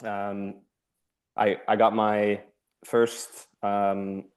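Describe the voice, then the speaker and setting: Young guy, deeper voice, umming a lot on a video call. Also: monotone, extremely slow. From born in Finland, raised in the US. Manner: hesitant, lots of ums. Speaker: master's student. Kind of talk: self-introduction in online meeting.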